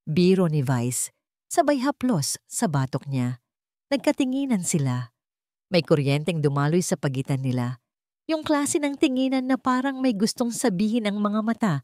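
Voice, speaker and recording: A woman's voice: medium pitch, a little mellow, no room sound, studio quality. A woman giving a dramatic scripted narration of a romance story.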